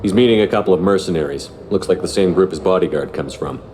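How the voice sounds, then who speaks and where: An actor playing a businesslike, no-nonsense military commander in a video game, talking in a clipped, matter-of-fact way. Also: male voice, deep voice, quick pace, darkish tone, natural voice. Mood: focused, matter-of-fact.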